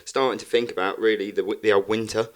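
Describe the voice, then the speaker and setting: A man's voice: lowish voice, steady tone, fast. A man chatting casually with friends as a co-host on a podcast. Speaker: farmer.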